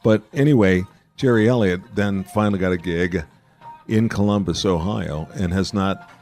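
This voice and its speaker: Gravelly low-voiced middle-aged man. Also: moderate pace, darkish tone, faint music behind. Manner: dry, joking storyteller. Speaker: podcast host. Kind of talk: casual chat over a meal. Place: burger bar.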